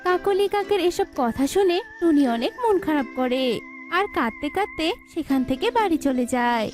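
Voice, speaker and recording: A woman's voice: high-pitched, wildly swooping pitch, moderate pace, a little mellow, faint music behind. A woman narrating a scripted children's cartoon story.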